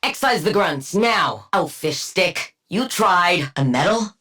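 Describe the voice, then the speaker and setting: A woman's voice: lowish voice, expressive, slow, fairly bright tone, full character voice. A woman playing a haughty, sardonic scientist supervillain in a video game, with clinical, precise diction and dry, condescending wit. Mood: dry, commanding. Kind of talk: scripted game character voice lines.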